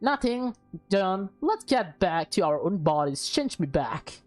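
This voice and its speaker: A woman's voice: medium pitch, sing-song, darkish tone. Woman playing flustered visual novel schoolgirl, hesitant and dodging, music underneath. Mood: evasive. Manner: halting, hesitant, hurried to get away. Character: flustered, evasive teenage schoolgirl. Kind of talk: visual novel character read-aloud.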